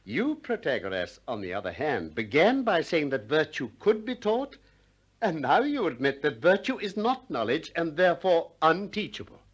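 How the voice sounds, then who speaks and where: An actor playing a male philosopher in a 1960s radio drama, courteous but relentless, articulate and measured as he questions insistently. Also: a little high, big pitch swings, breathy edge. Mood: wry.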